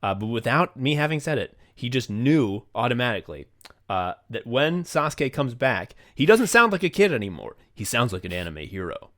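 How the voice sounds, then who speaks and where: A man's voice: mid-range voice, very animated, normal speed, fairly bright tone. A man hosting a podcast, chatting casually and effusively, with plenty of swearing. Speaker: podcast host. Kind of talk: casual behind-the-scenes podcast chat.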